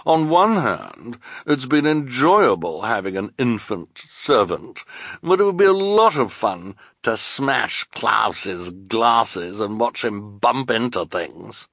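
A man's voice: mid-range voice, big pitch swings, slow pace, gravelly, a bit bright, no room sound, studio quality, voice pushed up, full character voice. A man voicing a vain, mocking villainess with an affected manner in an audiobook story. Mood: gleefully cruel. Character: mocking, vain, fashion-loving villainess, Esme.

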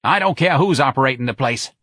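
A man voicing a bossy, sharp-tongued working-class woman in an audiobook, pushy and emphatic. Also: medium pitch, expressive, fast talker, breathy edge, no echo, studio quality, pitched above the performer's own voice. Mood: scolding, eager. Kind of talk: audiobook character dialogue.

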